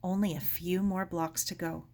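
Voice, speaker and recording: A woman reading a children's story aloud as expressive scripted narration. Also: lowish voice, moderate pace. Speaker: storybook reader.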